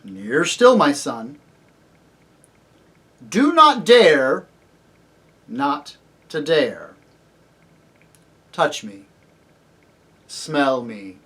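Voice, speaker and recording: A man voicing a great lion, a grave, commanding yet tender divine king in a storybook reading, speaking deliberately. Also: fairly high pitch, bright, forceful tone, full character voice. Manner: slow, grave, deliberate, authoritative.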